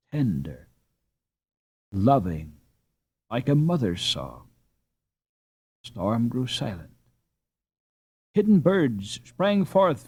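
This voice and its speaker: A man reading a classic children's novel aloud with expressive, dramatic narration. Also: a little high, husky. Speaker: audiobook narrator. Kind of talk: scripted narration.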